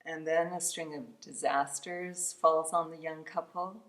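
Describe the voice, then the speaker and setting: Woman, author, low register, informal book presentation, hesitant phrasing. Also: vocal fry, rough recording. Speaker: author presenting her novel. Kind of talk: informal spoken introduction to a book.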